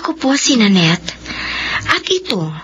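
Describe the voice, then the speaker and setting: A woman playing a resilient woman recounting a life of loss in earnest, reflective, measured radio drama narration. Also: a little high, very animated, a bit bright. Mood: resolute, reflective. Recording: dull lo-fi radio sound. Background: prominent music.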